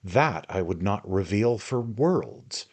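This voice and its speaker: A man's voice: on the low side, animated, takes it slowly, darkish tone. A man reading aloud and voicing a flustered, tearful middle-aged lady who answers hesitantly. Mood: hesitant, wounded. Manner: hesitant, wounded.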